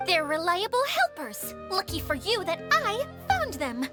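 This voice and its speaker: A woman's voice: very high-pitched, wildly swooping pitch, over loud music, full character voice. A woman voicing a girlish, self-deprecating mascot creature in a video game, theatrical and easily flustered. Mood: chipper. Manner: theatrical, quick to protest.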